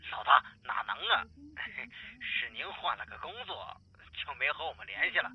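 An actor playing a chummy, blustery old friend on a phone call in a Mandarin radio drama, raspy and telephone-filtered. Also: male voice, medium pitch, very animated, moderate pace. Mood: awkward, placating. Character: excitable, chummy old buddy, a married man. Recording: dull, muffled phone-call sound.

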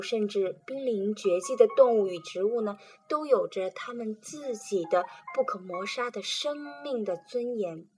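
A woman presenting and reading children's poetry in a calm, gentle storytelling manner. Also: mid-range voice. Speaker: reader and presenter.

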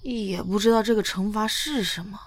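An actress voicing a timid, flustered young lover in an audio drama, bewildered and fretting as they protest innocence. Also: female voice, mid-range voice, moderate pace, no echo, lightly acted. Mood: anxious fretting. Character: timid, flustered, anxious lovestruck young junior. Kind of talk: modern audio drama acting. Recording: music behind the voice.

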